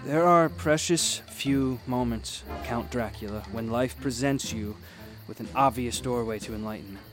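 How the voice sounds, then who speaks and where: An actor playing a confident, philosophical mentor in a radio drama, with a teasing, cryptic manner. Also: male voice, medium pitch, normal speed, natural voice. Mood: wry, enticing. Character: cryptic, wry, confident male adventurer and mentor. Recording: music behind the voice.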